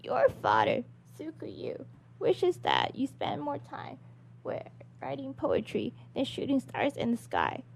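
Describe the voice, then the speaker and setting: A woman's voice: high-pitched, huge pitch swings, slow pace, creaky, slight background noise, pitched above the performer's own voice, exaggerated voice. Woman doing a tiny, swooping scolding maid. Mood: exasperated lecturing. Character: tiny-voiced, exasperated, scolding female attendant.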